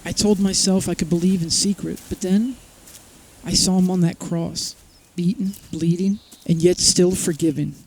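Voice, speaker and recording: An actor playing a solemn, repentant councillor in an audio drama, speaking in a measured, confessional way. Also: male voice, sits high, mellow, low-quality recording. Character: Joseph, solemn repentant councillor, secret male believer.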